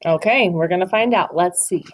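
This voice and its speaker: A woman's voice: lowish voice, normal speed, slight background noise, rough recording. A woman teacher reading a picture book aloud to children, encouraging them and asking questions about the pictures.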